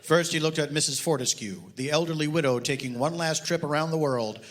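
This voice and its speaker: A man giving a deadpan, pompous, mock-serious story reading at a live comedy show. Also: fairly high pitch, fairly quick.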